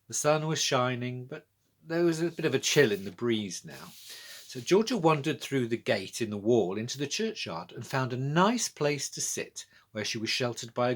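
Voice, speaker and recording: A man with a British accent narrates a children's storybook. His medium-pitched voice has a slightly rough, breathy grain. The reading is lively, with plenty of rise and fall. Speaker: author-narrator.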